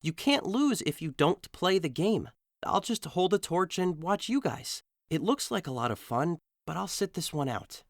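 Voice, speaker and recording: A man's voice: high voice, big pitch swings, normal speed, no echo, studio quality. An actor playing a brooding, lovesick young nobleman in an audio drama, earnest and reluctant. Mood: gloomy.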